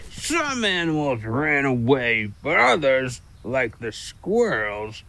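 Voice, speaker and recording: A man's voice: medium pitch, bright, forceful tone, exaggerated voice, low-quality recording. A man voicing a boastful, grumpy giant talking squirrel in an audio drama, with a blustering storyteller's manner. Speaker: voice actor. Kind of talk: audio drama character acting.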